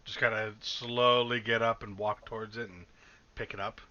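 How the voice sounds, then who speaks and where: A man chatting excitedly and casually as a player in an online tabletop game, slangy and swearing freely. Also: lowish voice, moderate pace, no room sound, lo-fi sound.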